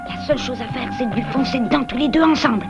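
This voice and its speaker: Une femme qui joue un petit castor courageux, débit rapide. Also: female voice, sits high, over music, close to an everyday voice. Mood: determined. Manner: determined, eager, reassuring. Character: plucky young boy beaver, brave and decisive. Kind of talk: French-dubbed cartoon acting. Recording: dull lo-fi videotape cartoon soundtrack.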